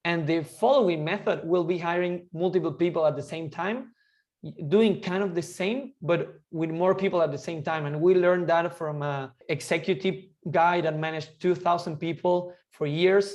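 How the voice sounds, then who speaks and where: A man, talking eagerly and quickly as an interview guest, often restarting his sentences. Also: high voice, steady tone, a little mellow. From Argentina. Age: 20s. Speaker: guest entrepreneur. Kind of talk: casual interview chat.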